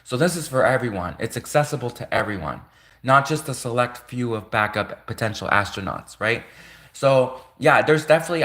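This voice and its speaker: A man's voice: mid-range voice, pushed and bright. A man who is a native Russian speaker, talking casually on a livestream in an earnest, rambling way and repeating phrases for emphasis. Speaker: livestream host.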